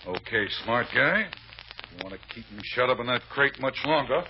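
A man's voice: medium pitch, lively intonation, normal speed, close to an everyday voice. An actor playing a sarcastic, bullying male police sergeant in an old-time radio drama. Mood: threatening. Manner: sarcastic, taunting. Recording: muffled old radio recording, faint noise.

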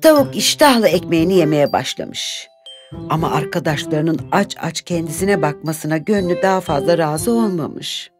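A woman narrates a children's fairy tale from a script. Her voice is low and animated in its melody, with loud music playing behind her. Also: moderate pace.

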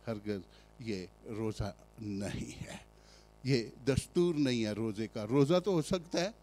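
Slow, emphatic Pakistani pastor preaching. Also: male voice, mid-range voice, darkish tone. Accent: Pakistani Urdu. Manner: slow, emphatic preaching with rhetorical questions and many hesitations. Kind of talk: sermon.

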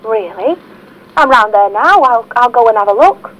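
A woman's voice: a little high, animated, normal speed, background noise, natural voice. An actress playing an earnest woman phoning a podcast for help in a scripted audio drama. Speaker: phone-in caller.

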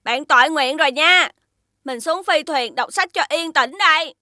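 A woman voicing a magical boy friend who lives in a spaceship, calm and sensible with a gently scolding manner, in a dubbed cartoon. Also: high-pitched, normal speed, dry recording. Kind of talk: cartoon dubbing.

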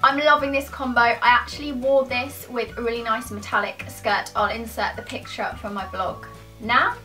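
A woman's voice: a little high, fairly even intonation, normal speed, sharp tone, recorded from a distance, faint music behind. A British woman in her twenties chatting casually to camera in an informal, self-deprecating, rambling way. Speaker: YouTuber answering questions to camera. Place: small furnished room at home, indoors.

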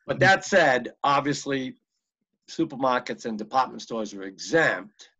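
Male, American, average pitch, rambling meeting chair on a dull, muffled video-call line. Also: lively intonation, unhurried, pushed and bright, breathy edge. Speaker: board of health meeting chair. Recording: muffled, band-limited video-call audio.

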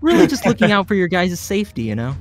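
A man's voice: high-pitched, wildly swooping pitch, fast talker, faint music behind. A man chatting casually in a reaction interview, making wry jokes about the scenes. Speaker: voice actor guest.

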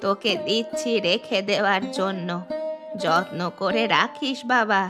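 A woman's voice: medium pitch, unhurried, close to an everyday voice. A woman voicing an aging, widowed mother-in-law in a cartoon who laments and scolds. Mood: sorrowful. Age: older. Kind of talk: cartoon voice acting. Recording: studio voice with background music.

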